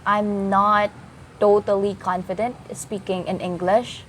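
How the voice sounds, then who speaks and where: Young Filipino woman, Ilocano native, soft-spoken and hesitant, slight background hiss. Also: mid-range voice, flat delivery, unhurried. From Philippines. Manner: soft-spoken, shy, hesitant, laughs often. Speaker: interview guest, English learner. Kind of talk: casual interview answers. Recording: faint background noise.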